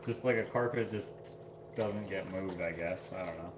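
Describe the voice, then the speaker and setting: A man's voice: sits low, normal speed, background noise. A man casually chatting on a livestream, reading viewer comments aloud and laughing often. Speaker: livestream host.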